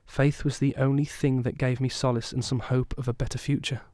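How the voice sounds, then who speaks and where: A man playing a haunted survivor, recounting a traumatic youth in solemn, measured scripted narration for an audio drama. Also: medium pitch, little pitch movement, darkish tone, no echo, studio quality, natural voice.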